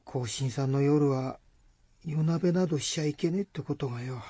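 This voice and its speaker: Husky, humble peasant man. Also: fairly high pitch, warm tone, no echo. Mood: sheepish. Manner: rustic, halting country speech. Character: humble male peasant villager, awkward and contrite. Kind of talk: radio drama acting.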